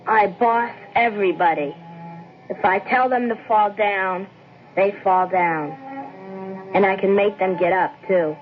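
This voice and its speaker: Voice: medium pitch, a little slow, lightly acted. An actor playing a domineering small boy who boasts like a tyrant god in a radio drama. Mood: boastful, commanding. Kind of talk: 1970s radio drama acting. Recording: lo-fi old radio broadcast, faint music.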